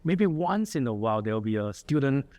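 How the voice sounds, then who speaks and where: A man's voice: mid-range voice, very animated, a little fast, darkish tone. A middle-aged man from Singapore with a Singaporean accent, an associate dean giving an earnest talk and answering questions in a lecture room. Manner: earnest, with frequent fillers and restarts.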